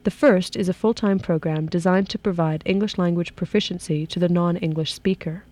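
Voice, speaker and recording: Steady, mellow-voiced woman reporter. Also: fairly low pitch, a little fast. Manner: steady, informative read. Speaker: program host and reporter. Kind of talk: scripted radio documentary narration.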